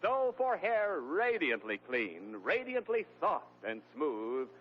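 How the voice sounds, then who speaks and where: A man announcing and reading commercials on a 1940s radio show in a brisk, upbeat salesman delivery. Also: fairly high pitch, wildly swooping pitch. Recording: dull lo-fi 1940s radio broadcast.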